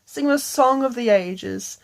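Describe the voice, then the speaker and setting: A woman voicing a very sassy female owl with a cool, deadpan manner in a bedtime story. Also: a little high, measured pace, gravelly.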